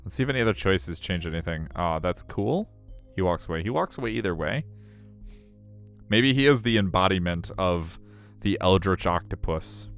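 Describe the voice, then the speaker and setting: A man's voice: low-pitched, lively intonation, moderate pace, a bit bright, clean tone, soft background music. A man, casually commenting on and narrating a game in an informal, rambling way. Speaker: streamer reading a visual novel.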